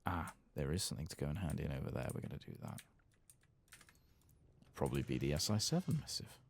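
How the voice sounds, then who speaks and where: Very deep, creaky soft-spoken ASMR man. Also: expressive, fast, slight background noise. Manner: murmured asides and musing while playing. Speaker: gaming ASMR host. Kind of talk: soft-spoken, partly whispered ASMR playthrough.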